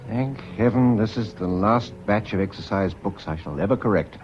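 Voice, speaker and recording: An actor playing a tense, defensive, controlled schoolmaster and widower in a British radio drama, speaking in a clipped, formal way with a British accent. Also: male voice, fairly low pitch, normal speed, a little mellow, lo-fi sound, soft background music, natural voice. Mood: strained, controlling.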